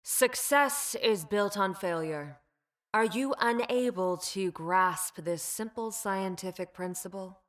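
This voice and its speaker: A woman voicing a cold, haughty, cat-like female bioweapon in a video game, speaking formally with measured, precise enunciation and clinical detachment. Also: mid-range voice, lightly acted. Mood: superior, clinical. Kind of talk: video game character voice lines.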